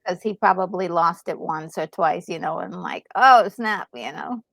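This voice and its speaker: A woman's voice: fairly low pitch, normal speed, creaky, fairly bright tone, no room sound. A woman co-commentating on a game in informal, slangy, casual chat. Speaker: gaming co-commentator.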